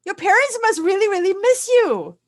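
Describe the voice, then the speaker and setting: A woman's voice: very high-pitched, sing-song, moderate pace. A woman from Singapore hosting a casual, chatty interview, giggly and often repeating her phrases for emphasis. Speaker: interview host.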